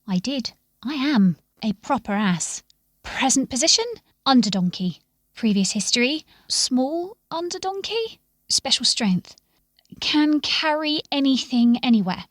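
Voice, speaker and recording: Little underdog donkey, played by a woman: self-deprecating, plain-spoken, dependable, higher storybook voice. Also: animated, a little slow, no room sound, clean studio sound.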